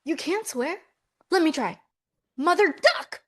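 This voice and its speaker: A woman's voice: very high voice, animated, slow pace, fairly bright tone, full character voice. A woman playing an anxious, practical, easily exasperated millennial twin in a romcom table read, earnest and insistent. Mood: desperate.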